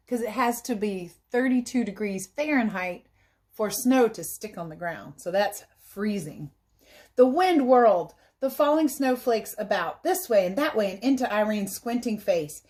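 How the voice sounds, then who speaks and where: A woman reading a story aloud as a teacher, pausing to explain things simply to young listeners. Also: fairly high pitch, animated, a little mellow. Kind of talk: read-aloud storytelling with explanatory asides for children.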